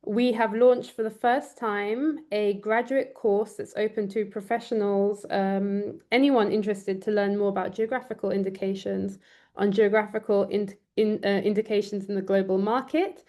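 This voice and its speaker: A woman's voice: medium pitch, a little mellow. A woman law lecturer, born in Trinidad and raised in London, giving an online presentation with many ums and restarts. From Trinidad and Tobago, raised in London. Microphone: video call.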